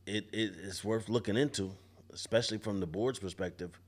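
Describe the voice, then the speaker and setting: Man in his 40s, low voice, barber guest, halting restarts, podcast mic indoors. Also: fairly even intonation. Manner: thoughtful, stammering restarts. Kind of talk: casual panel discussion. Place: barbershop event space, indoors. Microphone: podcast mic on boom arm.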